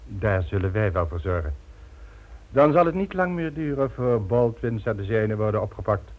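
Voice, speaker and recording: An actor playing a cool, calculating crime boss in a radio drama, measured and smugly explanatory. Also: male voice, fairly low pitch, expressive, natural voice. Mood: calculating, assured. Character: cool, calculating male crime boss, methodical and self-assured. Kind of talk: Dutch radio drama acting. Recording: dull old radio recording.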